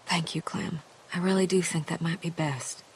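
A woman's voice: fairly low pitch, steady tone, moderate pace, a little hiss or hum, close to an everyday voice. A woman playing a frank, caring, worried survivor in a video game drama, talking in an earnest, folksy way. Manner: earnest, folksy, plain-spoken.

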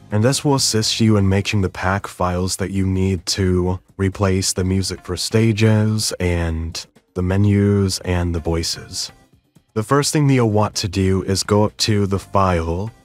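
Man, low voice, tutorial host, step-by-step software narration, background music.

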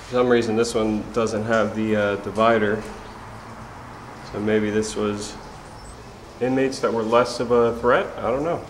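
A man's voice: fairly low pitch, steady tone, measured pace, slight background noise. A man filming alone inside an abandoned prison, talking offhandedly to himself and swearing now and then as he explores. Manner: offhand, swears often, thinks aloud. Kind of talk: casual muttered commentary while exploring. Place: large abandoned prison building, indoors. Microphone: camcorder mic, speaker behind the camera. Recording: echoey concrete interior with faint ambience.

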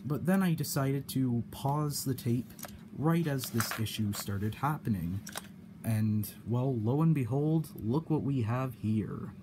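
A man giving a casual explanation in a hobby vlog, halting and often repeating his phrases. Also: medium pitch. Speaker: cassette hobbyist demonstrating a problem. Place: indoor room at home. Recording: clear background noise.

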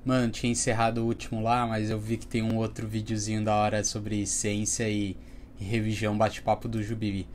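A man's voice: fairly low pitch, completely flat delivery, fast, slight background noise. A man hosting a reaction video, chatting casually and giving his opinions in a slangy way at his webcam. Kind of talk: casual commentary.

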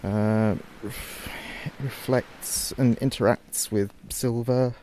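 A man acting as a radio DJ in an audio drama, reporting a disaster live in a halting, anxious, fearful way. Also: mid-range voice, steady tone, warm tone, a little hiss or hum, close to an everyday voice, rough recording. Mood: uneasy, explaining.

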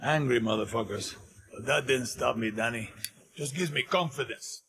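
An actor playing a cynical, swaggering guerrilla veteran in a video game, telling tales in a wry, boastful way against noisy ambience. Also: male voice, medium pitch, moderate pace, fairly bright tone, close to an everyday voice. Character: cynical, swaggering old-hand guerrilla spymaster. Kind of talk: cinematic video game acting.

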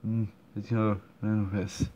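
A man commenting on a game he is playing, halting and full of fillers and restarts, recorded at home. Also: on the low side, little pitch movement, mellow. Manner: hesitant, many fillers and restarts. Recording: dull muffled recording, faint room noise.

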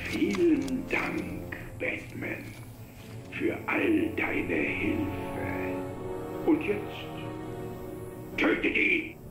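An actor playing a cruel male villain who taunts slowly and mockingly. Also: medium pitch, vocal fry, heavily acted. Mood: gloating. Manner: slow, gloating, mocking. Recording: echoey game audio with music.

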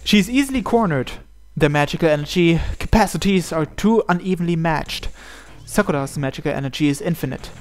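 A man's voice: on the high side, expressive, fairly quick, hardly any pauses, darkish tone. A man narrating a visual novel aloud as host, reading the scripted text.

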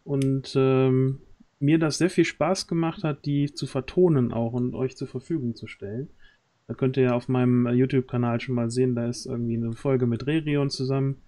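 Mellow-voiced man hosting casually. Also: medium pitch, fairly even intonation, moderate pace. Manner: hesitant, searching for words. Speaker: stream host. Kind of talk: casual livestream chat. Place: indoors, at home on a group video call. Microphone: close desk microphone on boom arm.

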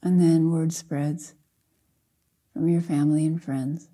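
Casting: older female meditation teacher, very dark, mellow tone, soft and husky, gentle measured phrasing with long pauses. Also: sits low, little pitch movement. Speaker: Zen teacher leading a guided meditation. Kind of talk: slow guided meditation.

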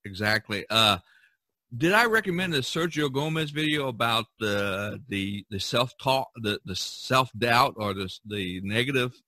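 A man in his early sixties hosting a casual, rambling podcast chat, halting and often repeating words. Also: medium pitch, animated, pushed and bright, no echo. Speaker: podcast host.

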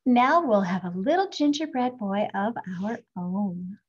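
Woman reading as a little old granny from a storybook, homely and fussy, a bit husky. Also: medium pitch, expressive, measured pace, darkish tone, muffled recording.

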